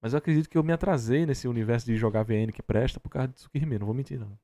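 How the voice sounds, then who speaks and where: Male Brazilian reactor, mellow tone, close and dry, bluntly opinionated. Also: medium pitch, racing, no echo. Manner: blunt, argumentative, repeats himself for emphasis. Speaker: reaction stream host. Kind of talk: casual reaction commentary.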